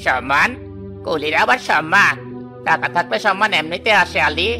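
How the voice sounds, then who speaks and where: A man's voice: fairly high pitch, normal speed, natural voice. A man voicing a mocking, sarcastic village troublemaker in a cartoon. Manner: taunting, sarcastic. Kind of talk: Bengali cartoon villain dialogue. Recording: music behind the voice.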